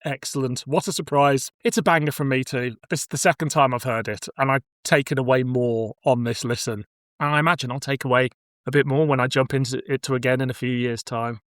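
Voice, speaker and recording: A man's voice: medium pitch, steady tone, brisk, a bit bright, no echo, studio quality. A man speaking as a podcast host, chatting casually and introducing items for review.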